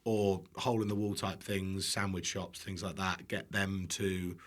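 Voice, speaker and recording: A man's voice: deep voice, level tone, a little slow. A British man chatting casually as a podcast guest, playful and self-deprecating, often rambling. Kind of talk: casual chat.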